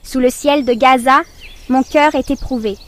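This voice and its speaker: A woman reciting a poem as a narrator in a solemn, measured, declamatory style. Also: high-pitched, steady tone. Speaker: poetry narrator.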